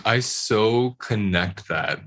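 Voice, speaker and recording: A man's voice: on the low side, slow pace, a bit bright. A man speaking earnestly and expressively in a casual, heartfelt discussion that he leads. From Puerto Rican family background.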